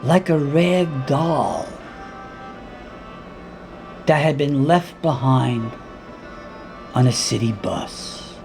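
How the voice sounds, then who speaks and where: A man reading his own dramatic monologue in a deliberate, intense spoken-word style, with weighty pauses and repeated words. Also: mid-range voice, lively intonation, gravelly, over music.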